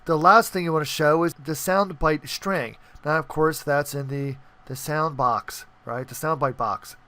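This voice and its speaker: Higher-voiced man giving a clear coding tutorial. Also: a bit bright, no echo. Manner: explanatory, checks in with listener. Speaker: programming tutorial presenter. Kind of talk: informal screencast tutorial walkthrough.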